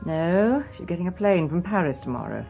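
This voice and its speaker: An affectionate, educated wife and mother in a drama. She is brisk and coaxing, with lively pitch and faint music behind. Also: gravelly, dull audio. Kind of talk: British political drama acting.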